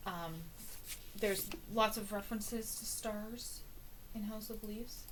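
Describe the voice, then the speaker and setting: A woman reading aloud from book notes in a casual, musing way, with many ums and uhs, often trailing off softly. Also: medium pitch, slow pace, background noise. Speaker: book reader and annotator.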